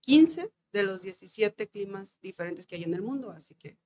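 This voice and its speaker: A woman from Veracruz with a Mexican Spanish accent, a guest mother speaking heartfelt and soft-spoken in a casual interview. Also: medium pitch, lively intonation, normal speed.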